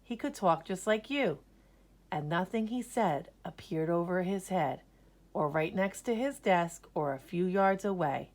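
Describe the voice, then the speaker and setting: A woman reading a children's story aloud in a rhythmic, expressive way, with dramatic emphasis on key words. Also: on the low side, a little slow.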